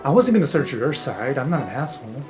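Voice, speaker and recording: A man acts out a young male dark elf student in a tabletop roleplay. He is sullen and guarded, with a sarcastic, defensive edge, and music plays clearly behind him. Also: mid-range voice, sing-song, fast talker, close to an everyday voice. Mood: sullen, indignant. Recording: music under the voice.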